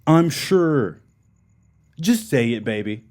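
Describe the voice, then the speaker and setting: A man's voice: medium pitch, animated, a little mellow. A man playing a cocky, clueless athletic young boyfriend in a comedic audio drama. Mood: eager, smitten. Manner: cocky, drawn-out delivery.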